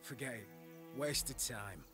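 A man's voice: mid-range voice, measured pace, over loud music, lightly acted. A man playing a sceptical, fed-up camp guard in a video game, complaining sarcastically. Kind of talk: video game cutscene acting.

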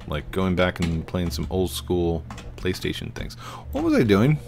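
A man's voice: sits low, huge pitch swings, a little slow, gravelly, darkish tone, a little hiss or hum. A middle-aged American man giving casual, playful gameplay commentary, rambling to himself and his viewers. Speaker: gaming video host. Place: indoors at a desk, webcam stream setup.

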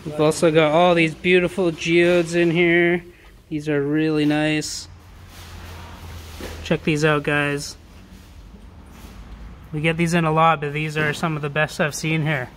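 A man with a high-pitched voice shows off sale items for an auction house. His patter is upbeat, informal and slangy, aimed straight at buyers. He records on a handheld device in a warehouse, with clear background noise. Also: little pitch movement, unhurried, long pauses. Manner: enthusiastic, slangy sales patter. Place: large warehouse showroom, indoors.

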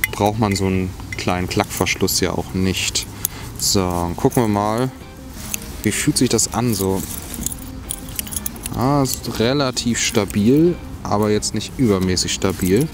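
Man, outdoor gear review, low voice, thinking out loud, music in background. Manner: musing, talks while handling items. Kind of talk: casual hands-on product review. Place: outdoors on grass by a log. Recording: music playing underneath.

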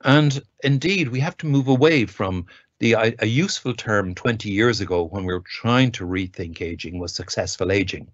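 Deep-voiced man lecturing over video. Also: moderate pace, no echo. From Ireland. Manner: enthusiastic, reads quotes aloud with emphasis. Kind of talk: academic lecture. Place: home study with bookshelves. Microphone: webcam on a video call. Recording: dull lo-fi video call audio, dry.

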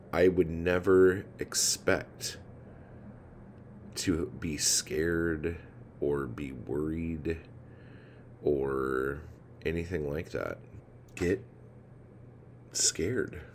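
Very deep gravelly-voiced man, venting slowly. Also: a little mellow. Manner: rambling, frustrated, swears freely. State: feeling low and tired. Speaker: poet vlogger. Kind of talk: casual confessional vlog. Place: indoor room with ceiling fan. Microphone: phone camera held low, close. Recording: noisy phone recording.

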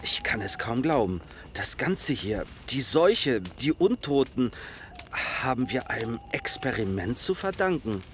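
A man plays a survivor of the undead plague in an audio drama. He is open and full of wonder, with a mid-pitched, very lively voice over faint ambient noise. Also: measured pace. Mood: stunned disbelief. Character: astonished, welcoming male survivor of a zombie plague. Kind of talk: German zombie audio drama acting.

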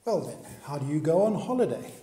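A man's voice: a little high, very animated, fairly quick, mellow. A man preaching a sermon, pressing the listener with one earnest question after another. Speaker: pastor. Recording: echoey hall recording.

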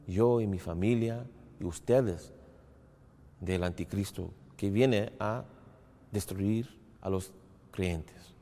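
Low slow guy, halting religious talk, echoey hall. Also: dark tone, a little hiss or hum. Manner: halting, in short phrases with pauses. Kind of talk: short religious talk to camera. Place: large empty hall with a banner, indoors. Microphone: lapel mic, standing to camera. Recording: long hall reverb.

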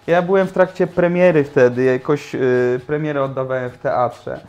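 A young man in his twenties, an actor and guest on a cooking show, talking in a lively, enthusiastic way in a small home kitchen. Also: medium pitch, moderate pace, lo-fi sound. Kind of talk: casual chat. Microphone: lapel mic.

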